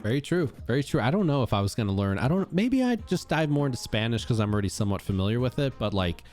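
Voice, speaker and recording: A man's voice: medium pitch, very animated, fast talker, a little mellow. An American man hosting a podcast interview, easygoing and curious, with plenty of fillers as he asks questions. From United States. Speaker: podcast host. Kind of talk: casual interview.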